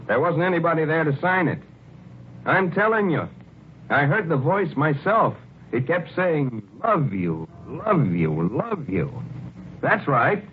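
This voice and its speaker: An actor playing a plain-spoken, baffled police lieutenant in conversational 1940s radio drama style, on an old recording. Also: male voice, fairly high pitch, sing-song, a little slow. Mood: defensive, bewildered. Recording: tinny old radio recording, faint noise.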